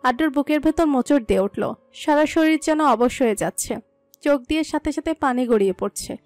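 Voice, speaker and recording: Female storyteller, scripted romantic-drama narration, high voice, dry of nothing but faint echo-free music bed. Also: fairly quick, a little mellow.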